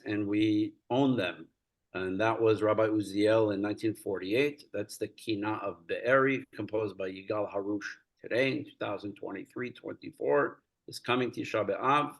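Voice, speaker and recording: A man raised in the United States, a rabbi speaking earnestly in a lecture-style talk over a video call, warming into animated storytelling. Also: fairly low pitch, poor audio. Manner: earnest, storytelling, gets animated and laughs while recounting.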